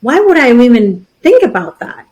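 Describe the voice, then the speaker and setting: A woman's voice: on the high side, sing-song, moderate pace, a little mellow. A woman in her thirties co-hosting a podcast, talking in a rambling, casual way with many restarts. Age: 30s. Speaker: podcast co-host. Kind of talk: casual chat.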